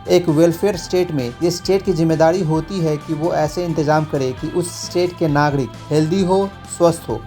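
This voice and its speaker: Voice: mid-range voice, warm tone. An Indian speaker giving a formal, instructive scripted narration as a legal explainer, mixing in English legal terms. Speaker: legal explainer, channel host. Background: music.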